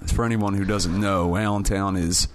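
Low-voiced, teasing young male host. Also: fairly even intonation, normal speed, no room sound. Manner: teasing, joking, steering the show. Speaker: podcast host. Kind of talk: casual chat. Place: his own home.

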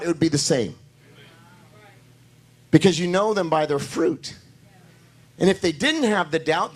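A hoarse male pastor preaching a sermon in a church, rising into emphatic declarations. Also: high voice, fairly bright tone.

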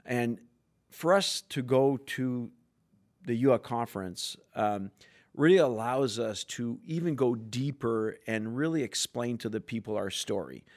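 A man of middle pitch answers podcast questions as a sales executive. He speaks earnestly and with enthusiasm, full of corporate phrasing and some filler words. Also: measured pace, darkish tone.

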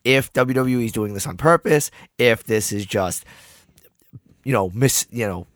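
A man with a raspy voice co-hosting a podcast, chatting casually with a laid-back, dry way of talking. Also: mid-range voice, unhurried, fairly bright tone, dry recording. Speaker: podcast co-host. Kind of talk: casual chat.

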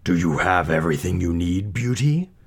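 A man voicing a slow, deep, snarling beast. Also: expressive. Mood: brooding. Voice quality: growling, snarling. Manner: slow, drawn-out, commanding. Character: hideous male beast, menacing, imperious, snarling.

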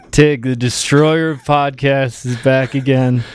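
A man hosting a podcast with casual, teasing, joking banter. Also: medium pitch. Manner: animated, teasing. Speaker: podcast host.

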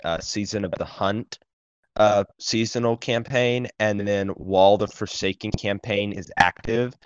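A lower-voiced man with a lisp chats quickly on a gaming podcast, often trailing off. His audio sounds a little dull and lo-fi, and dry. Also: no room sound. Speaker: podcast co-host. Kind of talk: casual gaming podcast chat. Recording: slightly dull, lo-fi mic.

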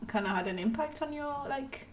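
A woman's voice: high voice, expressive, fairly quick, slight background noise. Friendly woman hosting a podcast. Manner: friendly, teasing, short prompts. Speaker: podcast host. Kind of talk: casual interview chat. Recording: distant mic with loud music.

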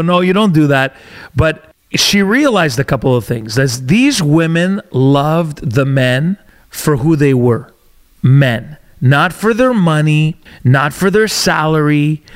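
A man hosting a casual solo podcast, talking candidly while his voice keeps cracking as if he's losing it. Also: a little high. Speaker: podcast host.

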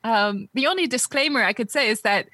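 Bright-voiced French woman. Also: fairly high pitch, fairly quick, no echo, clean studio sound. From France. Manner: lively, laughs easily. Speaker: podcast guest. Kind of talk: casual interview chat.

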